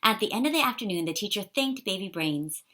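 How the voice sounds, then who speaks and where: A woman reading a children's picture book aloud in an expressive, animated way for young listeners. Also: mid-range voice, fairly quick. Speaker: storybook reader.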